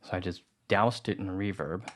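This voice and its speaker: A man with a fairly low voice talks through a music-editing tutorial. He keeps it relaxed and conversational, thinking aloud as he explains each trick. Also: moderate pace, crowd noise. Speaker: tutorial narrator.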